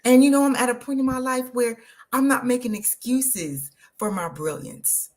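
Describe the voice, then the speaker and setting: A woman, hosting an energetic, motivational live stream and talking straight to her viewers. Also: fairly high pitch, moderate pace. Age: 50s. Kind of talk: live social media stream.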